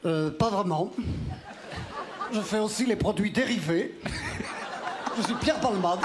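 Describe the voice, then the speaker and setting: A young man, a comedian guest, bantering deadpan on a TV show. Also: sits high, a little slow, a loud crowd. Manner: deadpan, joking, stammers at times. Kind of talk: comic TV interview banter. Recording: TV studio with audience and noise.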